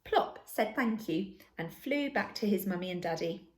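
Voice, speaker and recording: A woman reading a children's story aloud as narrator, in an expressive and deliberate manner. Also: mid-range voice, a little hiss or hum. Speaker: storybook reader.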